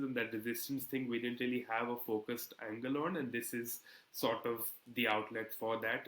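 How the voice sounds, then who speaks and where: A man's voice: medium pitch, pushed and bright. A man in an interview explaining his work as an editor in an articulate, self-deprecating, conversational way. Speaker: politics editor being interviewed. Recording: echoey, roomy sound.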